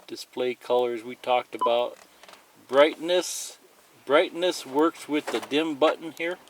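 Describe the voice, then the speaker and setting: Slow-paced man over clearly noisy recording. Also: mid-range voice. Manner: plain-spoken, step-by-step explaining. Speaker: tutorial presenter. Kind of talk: casual hands-on product demonstration. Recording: clearly noisy amateur recording, clicks and beeps.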